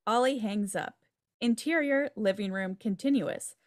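A woman reads out script directions for a table read. Her voice sits at a middle pitch, recorded on a video call. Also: unhurried. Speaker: stage directions reader. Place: indoor room, video call. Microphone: video call microphone.

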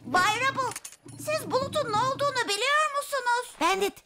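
An actor voicing an eager, mischievous young bus, childlike and excitable, in a children's cartoon dub. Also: very high-pitched, moderate pace, loud music behind the voice. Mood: sly.

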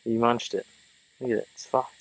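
A man's voice: medium pitch, flat delivery, a little fast, heavy background noise. A young Australian man chatting casually with mates on a fishing boat, blokey and sweary. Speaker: angler in a fishing vlog. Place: outdoors on a small boat on a river. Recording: music over outdoor boat audio.

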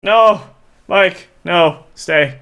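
A man's voice: high-pitched, extremely slow, fairly bright tone, smooth, highs cut off. A man in his thirties streaming a game from his desk on a headset, chatting casually and teasing his friends. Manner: joking, teasing banter with friends. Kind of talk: casual gaming stream chat. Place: small indoor room at a desk.

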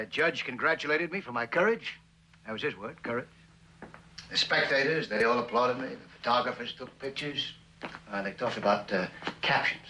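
An actor in his forties with an American accent playing a guilt-ridden, bitterly self-mocking husband in a halting, hesitant 1960s television drama style. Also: mid-range voice, bright, forceful tone, close to an everyday voice. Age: 40s. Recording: noisy vintage television soundtrack.

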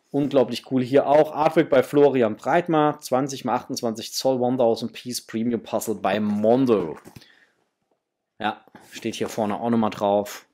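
A man's voice: medium pitch, a little mellow, husky. A middle-aged man gives a casual unboxing chat to viewers, enthusiastic and full of superlatives. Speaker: toy collector vlogger, host. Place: small indoor home room with framed posters.

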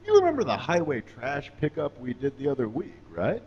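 A man playing a pious, patronising, folksy puppet who lectures deliberately in a mock-sermon at a live comedy show. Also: mid-range voice, huge pitch swings, a little mellow, husky, dull audio, full character voice. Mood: preachy. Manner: preachy, deliberate, drawn-out phrasing. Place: small indoor stage. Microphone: handheld microphone.

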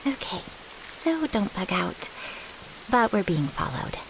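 Woman playing a scrappy servant girl, very expressive. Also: mid-range voice, slow pace, vocal fry, noisy background, full character voice. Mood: wary. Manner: cocky, blunt, casual. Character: scrappy, brash, loyal young female vampire's servant. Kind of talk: ASMR audio roleplay.

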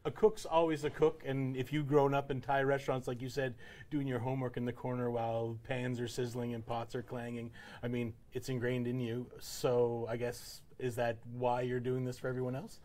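A man's voice: mid-range voice, flat delivery, normal speed, warm tone. A man in his forties hosting a cooking show in a studio kitchen, asking his guest questions with casual fillers. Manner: friendly, asks questions with fillers.

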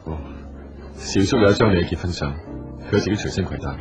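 A man's voice: low voice, quick pace, close to an everyday voice. A man with a Hong Kong Cantonese accent acting a polite, earnest, persistent businessman in a radio drama. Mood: apologetic, earnest. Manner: polite, solicitous. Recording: dull audio with music underneath.

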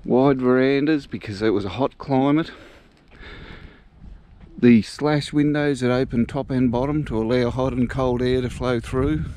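A man giving a relaxed, casual walking tour outdoors, thinking aloud as he goes. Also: mid-range voice, a little hiss or hum. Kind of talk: casual walk-and-talk narration. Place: outdoors walking through a small country town.

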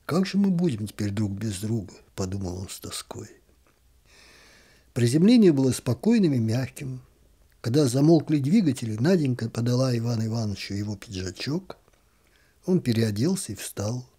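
Male narrator for a literary story, mellow and slightly husky, calm and expressive. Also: mid-range voice, normal speed. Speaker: audiobook narrator.

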